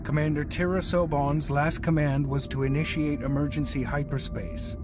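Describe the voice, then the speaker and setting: A man playing a calm, dutiful fleet intelligence officer in a sci-fi game, giving formal, measured reports. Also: on the high side, music in the background, close to an everyday voice. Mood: grave, controlled. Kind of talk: scripted sci-fi game cutscene acting.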